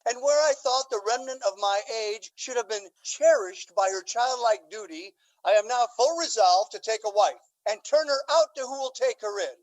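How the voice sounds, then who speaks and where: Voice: medium pitch, lively intonation, normal speed, few pauses, lightly acted, low-quality recording. An actor playing an aging, scheming Duke in a Shakespearean radio drama, with a theatrical, courtly and emphatic delivery. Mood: aggrieved, bitter. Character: aging, scheming Duke, aggrieved father feigning lovesickness.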